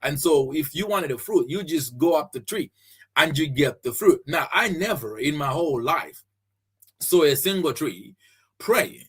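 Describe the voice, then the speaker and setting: A man's voice: a little high, lively intonation, measured pace, slightly rough. A man from Zambia hosting a faith-based podcast, teaching earnestly, repeating his key phrases and often restarting with fillers. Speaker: podcast host and preacher.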